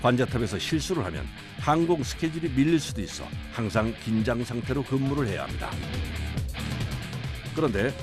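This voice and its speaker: Male narrator, scripted documentary delivery, average pitch, prominent background music. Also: expressive, brisk.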